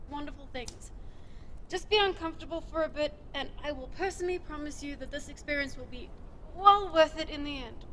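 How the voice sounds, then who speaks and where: An actress playing a brash, bossy, upbeat desert rescuer in an audio drama, brisk and teasing in her commands. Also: sits high, slight background noise, close to an everyday voice.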